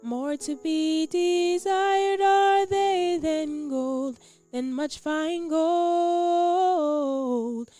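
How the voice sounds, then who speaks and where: High-voiced woman singing slowly. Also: warm tone, no room sound, faint music behind. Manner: sung, drawn-out phrases. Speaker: singer. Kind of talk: devotional scripture song. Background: musical accompaniment.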